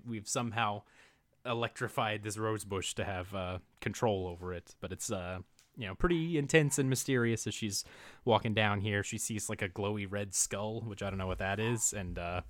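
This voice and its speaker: A man's voice: on the low side, fairly bright tone, no echo. A young man co-hosting a casual movie recap podcast, rambling with many fillers and chuckling as he reads out his notes. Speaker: podcast co-host.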